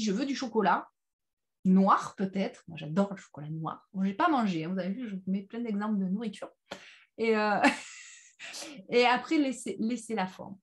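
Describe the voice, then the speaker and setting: A woman leading an informal online personal development workshop, speaking haltingly and leaning on key phrases with everyday examples. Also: mid-range voice. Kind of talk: informal interactive online workshop talk with slides.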